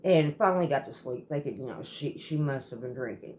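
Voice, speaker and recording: Halting woman storyteller, noisy recording. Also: extremely low pitch, animated, a little mellow. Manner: halting, rambling, often circling back and repeating. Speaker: storytelling host. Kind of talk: casual storytelling, retelling a viewer's account.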